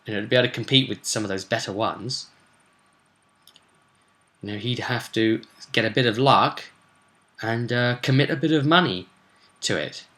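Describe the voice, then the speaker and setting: Bright-voiced man, chatty game recap. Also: mid-range voice, moderate pace, pauses often. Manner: chatty, rambling, explanatory. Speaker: game master recapping his tabletop campaign. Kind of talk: casual vlog recap of a role-playing session.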